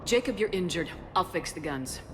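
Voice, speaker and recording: Game commander woman actor, deep female register, direct and caring, over background rumble. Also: lively intonation, moderate pace, lightly acted. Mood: firm, commanding. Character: resolute military commander heroine, calm and caring. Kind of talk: video game cutscene acting.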